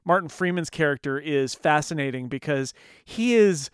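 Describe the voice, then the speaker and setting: A man in casual conversation, talking haltingly with many fillers and repeated words. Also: a little high, lively intonation, clear, resonant tone, no room sound, clean studio sound. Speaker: podcast co-host. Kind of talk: casual film discussion.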